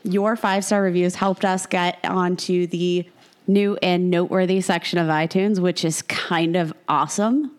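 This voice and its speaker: A woman co-hosting a casual fantasy football podcast, her voice a little raspy from a cold, talking in a chatty, self-mocking way. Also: fairly low pitch, steady tone, moderate pace. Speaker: podcast co-host.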